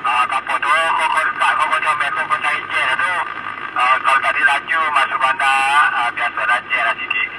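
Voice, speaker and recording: A Malaysian man talks casually over a two-way radio link. His mid-pitched voice sounds far from the microphone, with very loud vehicle noise around it. He speaks as an amateur radio operator in an informal exchange. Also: little pitch movement, a little fast. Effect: two-way radio transmission.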